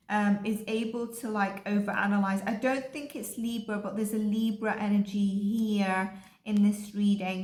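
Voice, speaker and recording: A woman's voice: medium pitch, a little mellow. Rambling woman in an echoey room. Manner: rambling, with frequent ums and likes. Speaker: psychic energy reader. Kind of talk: casual psychic reading monologue.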